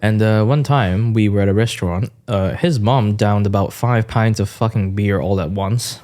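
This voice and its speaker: Giggly young man with a low voice. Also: normal speed, a little mellow. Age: early twenties. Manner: giggly, chatty, storytelling. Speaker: podcast co-host. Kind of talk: casual podcast chat.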